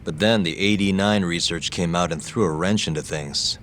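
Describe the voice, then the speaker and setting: A man's voice: low voice, moderate pace, a bit bright, a little hiss or hum. A man playing a laid-back, wry detective hero in a video game cutscene, talking casually and tersely. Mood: matter-of-fact.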